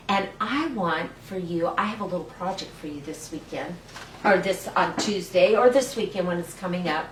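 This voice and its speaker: Older woman in a roomy, distant recording. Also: medium pitch. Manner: playful, encouraging, speaks simply as to small children. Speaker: children's story time host. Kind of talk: read-aloud storytelling with chat to young children. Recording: roomy, distant mic with faint noise.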